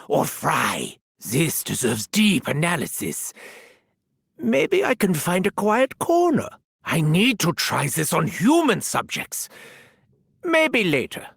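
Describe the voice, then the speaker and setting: An actor voicing a gleefully sadistic, haughty German mad scientist for a video game, with a theatrical delivery. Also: male voice, high voice, creaky, a bit bright, slightly rough, dry recording, clean studio sound, exaggerated voice. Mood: sinister curiosity. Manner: theatrical, swinging from shrieking glee to menacing murmur.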